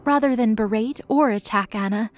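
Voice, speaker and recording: A woman's voice: fairly high pitch, normal speed, soft background music. A woman narrating scripted dramatic audio fiction, reading as a storyteller.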